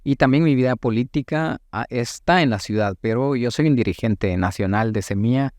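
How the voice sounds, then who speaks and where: A Guatemalan man in his late thirties giving thoughtful, halting answers as a politician being interviewed, with formal wording. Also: medium pitch, a little mellow, no echo. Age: late 30s. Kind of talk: reflective interview talk.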